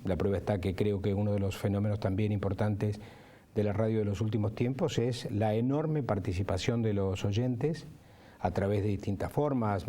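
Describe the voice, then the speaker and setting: A man's voice: lowish voice, dark tone. A man who works in radio, talking reflectively in an interview. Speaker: radio professional.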